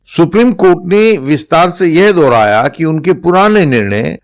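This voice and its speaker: Indian male legal explainer, slightly raised pitch, measured formal delivery. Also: animated. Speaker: presenter explaining court judgments.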